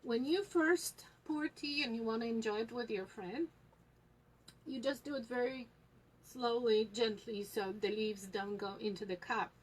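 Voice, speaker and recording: A woman with a Polish accent hosting a live tutorial on tea leaf reading, talking slowly with many fillers and pauses to viewers. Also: a little high, poor audio. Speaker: tea shop host teaching tea leaf reading.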